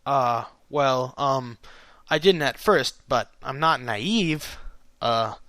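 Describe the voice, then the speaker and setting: Man as a bashful, bright-voiced young stallion. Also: mid-range voice, lively intonation, slow, no room sound. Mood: embarrassed. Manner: quiet, muttering, hesitant. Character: bashful, self-deprecating male pony, awkward narrator hero.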